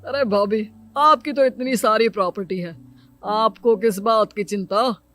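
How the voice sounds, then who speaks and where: A man's voice: high-pitched, measured pace, a bit bright. A man voicing a nosy, meddling family friend in a moral story, familiar, exclamatory and persuasive.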